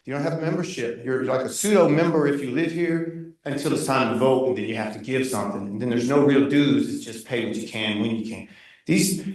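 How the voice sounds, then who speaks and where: A man speaks up at a neighborhood meeting, loud and insistent, restarting his sentences as he pushes his complaint. He is not on the microphone, so his voice comes from well off across a very echoey room. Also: medium pitch, a little fast. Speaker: resident giving public comment. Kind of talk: impassioned public comment at a neighborhood meeting. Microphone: room mic at a distance, not speaking into a handheld. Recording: very echoey room, voice far from the mic.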